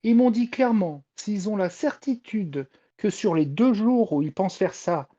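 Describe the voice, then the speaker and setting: Mellow-voiced Frenchwoman, slightly muffled recording. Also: medium pitch, expressive, normal speed. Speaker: podcast panelist and reporter on Japanese pop culture. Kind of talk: informal panel talk, explaining enthusiastically.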